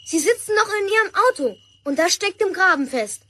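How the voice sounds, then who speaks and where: A woman's voice: very high-pitched, expressive, fairly quick, fairly bright tone. A woman playing a worried, earnest young girl in a children's radio play from the 1970s or 80s. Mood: worried, insistent. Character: worried young girl from a pony-stable story.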